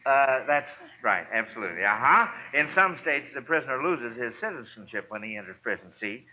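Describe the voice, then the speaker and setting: A man's voice: medium pitch, sing-song, a little fast. A man hosting a 1940s radio quiz show in an encouraging manner. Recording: muffled, noisy vintage radio recording.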